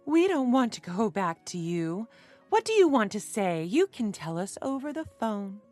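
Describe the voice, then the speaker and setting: A woman narrator voicing a brisk young woman in a story, cool and playfully teasing. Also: mid-range voice, sing-song, darkish tone, no echo, soft background music, voice pushed up, lightly acted. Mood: cold, curt.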